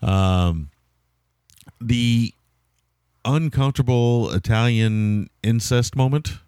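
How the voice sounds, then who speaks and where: A man's voice: mid-range voice, slow, warm tone, smooth, no room sound, studio quality. A man co-hosting a podcast, reviewing a film in casual, rambling, amused talk. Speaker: podcast co-host. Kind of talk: casual movie review chat.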